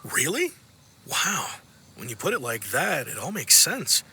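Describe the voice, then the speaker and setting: Easygoing sailor, bright high-ish voice. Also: male voice, big pitch swings, a little slow, husky, exaggerated voice. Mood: impressed, casual. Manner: casual, chuckling, chatty. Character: easygoing, friendly male sailor, chatty and amused. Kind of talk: video game cutscene acting.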